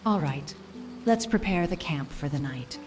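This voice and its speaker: A woman's voice: deep voice, animated, a little slow, darkish tone, faint music behind. A woman playing a cheerful, eager young female adventurer in a scripted fantasy audio drama. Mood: agreeable.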